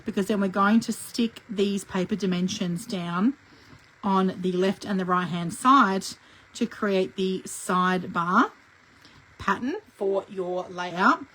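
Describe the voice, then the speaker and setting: A middle-aged woman leads a live scrapbooking lesson, talking through each step in a chatty, friendly way. Her voice is croaky from a cough that hasn't gone away, and she clears her throat now and then. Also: medium pitch, slow, fairly bright tone, low-quality recording. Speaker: scrapbooking instructor. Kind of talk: live craft tutorial.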